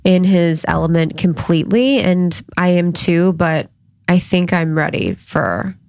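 A woman's voice: fairly low pitch, animated, a little slow, vocal fry, a little mellow, no room sound, studio quality. A young woman co-hosting a podcast, chatting casually and laughing while she sounds stuffed up and congested. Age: young adult. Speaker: podcast co-host. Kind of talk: casual chat.

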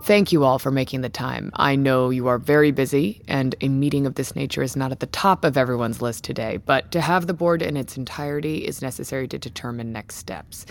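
A low-voiced, proud company boss. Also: female voice, brisk, creaky, few pauses. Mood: composed, firm. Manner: controlled, firm, cutting. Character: embattled woman company founder, proud, cold, defiant. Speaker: actor. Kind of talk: audio drama acting. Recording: music behind the voice.

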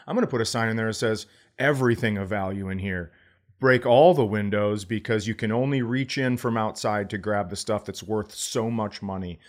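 An American man hosting a podcast in casual comedic chat, profane and playfully argumentative. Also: on the low side. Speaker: podcast host.

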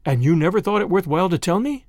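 A man voicing a brave, fierce young male mongoose in a storybook reading, brisk and determined. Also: high voice, animated, a little mellow, no echo, clean studio sound. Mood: impatient.

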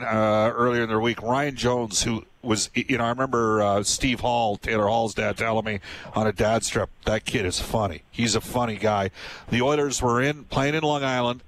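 A man's voice: mid-range voice, fairly bright tone, low-quality recording. Man from Canada, host, rambling stories, many fillers, faint background hiss. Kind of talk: casual storytelling chat.

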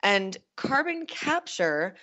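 A woman, a reporter explaining things in a measured news interview manner, stressing key words. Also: mid-range voice, big pitch swings, bright, forceful tone, highs cut off. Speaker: energy reporter.

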